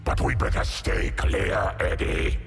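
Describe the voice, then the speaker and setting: A hulking alien symbiote monster, extremely low and growling. The delivery is slow and menacing, swinging from murmur to snarl, with game music behind. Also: male voice, steady tone, exaggerated voice. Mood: menacing, possessive. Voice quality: deep growling gravelly rasp. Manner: slow, theatrical, speaks as "we". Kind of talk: video game character voice lines.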